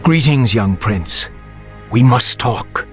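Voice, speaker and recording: A mysterious prophet warning of doom in a fantasy video game. His medium-pitched voice is solemn and portentous. Faint music lies underneath. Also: expressive, takes it slowly. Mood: grave warning. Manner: grave, portentous.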